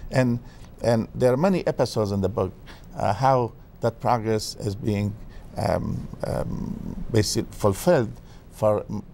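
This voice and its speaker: Older Afghan guest expert, halting and full of fillers, dark and gravelly voice, faint noise behind. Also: male voice, on the low side. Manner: hesitant, many fillers and restarts. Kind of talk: TV interview.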